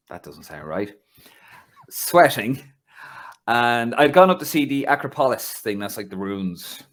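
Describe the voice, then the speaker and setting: A man from Ireland hosting a casual football podcast over a video call, an animated storyteller. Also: on the low side. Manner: animated storyteller, acts out voices. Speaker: podcast host. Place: home in London.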